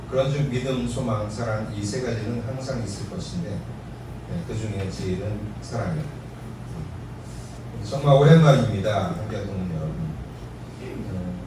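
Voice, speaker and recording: A man in his late fifties, a pastor, giving a relaxed, chatty sermon with jokes about his own age. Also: lowish voice. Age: 50s. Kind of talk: sermon at an alumni reunion. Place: church hall. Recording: echoey hall from far off, noisy.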